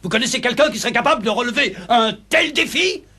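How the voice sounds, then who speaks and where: An actor playing a haughty, scornful gentlemen's club member in a cartoon, pompous and emphatic. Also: male voice, very high-pitched, animated, fast talker, slightly rough, heavily acted. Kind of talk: French cartoon voice acting.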